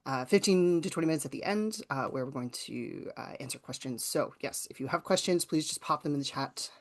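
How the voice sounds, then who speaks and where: Canadian accent, writing teacher, medium pitch, informal webinar presentation, explanatory, conversational. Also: a little fast. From rural southwestern Ontario, Canada. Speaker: writing teacher and author giving a workshop.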